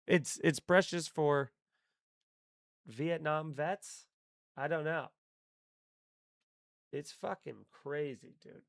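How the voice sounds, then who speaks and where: A man's voice: a little high, very slow, vocal fry, pauses often, no room sound. Profane rambling man, dry sound. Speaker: comedian hosting a live stream. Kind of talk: casual live-stream chat reading chat comments.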